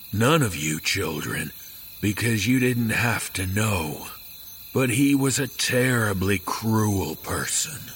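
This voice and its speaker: An actor playing a grim, haunted middle-aged father in a horror audio drama, speaking gravely and haltingly. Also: fairly low pitch, fairly bright tone, a little hiss or hum. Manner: slow, grave, halting delivery. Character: grim, haunted father confiding a dark family secret.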